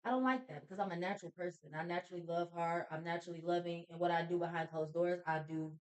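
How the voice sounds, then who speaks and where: A woman's voice: on the low side, fairly even intonation, darkish tone. A woman speaking as a guest in a casual faith talk, confident and emphatic. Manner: confident, emphatic, fast-talking.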